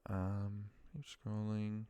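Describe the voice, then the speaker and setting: A man's voice: fairly low pitch, completely flat delivery, drawn-out words, dark tone, smooth, no echo. A man giving an informal, unscripted screen-share tutorial, sometimes muttering to himself as he works. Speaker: instructor demonstrating a spreadsheet task.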